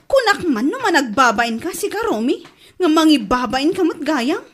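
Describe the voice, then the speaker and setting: A woman plays a nagging, gossipy wife in an Ilocano radio comedy. Her voice is high and swings widely in pitch, with an Ilocano Filipino accent and an exasperated edge. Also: sing-song, a little slow, a little hiss or hum. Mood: scolding.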